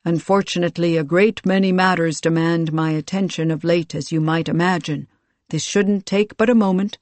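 A narrator voicing a towering, commanding military ruler in an audiobook, with a loud, booming delivery and formal, measured speech. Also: fairly even intonation, no room sound, close to an everyday voice. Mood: genial, commanding. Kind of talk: audiobook character dialogue.

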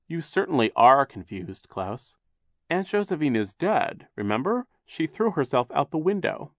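A man voicing a fussy, well-meaning but obtuse gentleman in a storybook reading, fretful and patronizing. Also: a little high, very animated, normal speed, no echo, clean studio sound, pitched above the performer's own voice. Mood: patronizing disbelief.

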